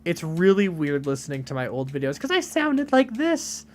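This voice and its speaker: A young man in his late teens streaming games and chatting casually, with a deadpan delivery and lots of rambling fillers. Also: fairly high pitch, normal speed. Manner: deadpan, flat delivery even when joking; rambling, many fillers and restarts. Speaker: game streamer. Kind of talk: casual live-stream chat.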